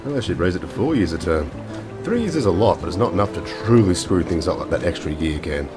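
Man doing a snarky radio co-host, very deep, fast, sarcastic, music behind. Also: expressive. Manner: sarcastic, mocking.

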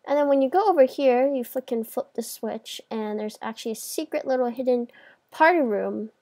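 High-voiced woman giving a casual game tour. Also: moderate pace, darkish tone. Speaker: host of a video game tour. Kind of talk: casual gameplay commentary.

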